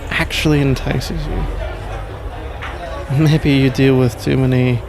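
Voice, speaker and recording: A man with a British accent playing a suave, suspicious and powerful mafia crime lord in an intimate ASMR roleplay, measured, self-assured and teasingly condescending. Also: medium pitch, level tone, creaky. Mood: smug, seductive. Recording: noisy bar-like crowd ambience behind.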